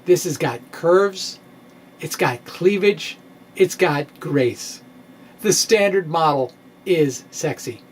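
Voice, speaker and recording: Halting, quirky man explaining science, noisy background. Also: fairly high pitch. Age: middle-aged. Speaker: physics video blogger. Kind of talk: dramatic reading of a science blog, loosely scripted explanation. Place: small indoor room with bookshelves. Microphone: microphone on a stand in front of him. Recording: steady clear background noise.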